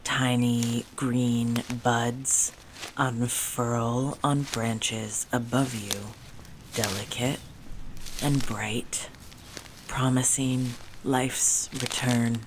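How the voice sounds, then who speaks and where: A woman leading a soothing guided meditation in a hushed, gentle way with deliberate pauses and soft phrase endings. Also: very deep voice, fairly even intonation, noisy background.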